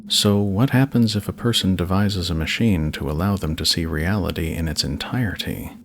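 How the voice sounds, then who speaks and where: A man with a very low voice and a heavy creak reads scripted narration. His delivery is measured, solemn and slightly dramatic, like a horror storyteller, over light background noise. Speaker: narrator, channel host.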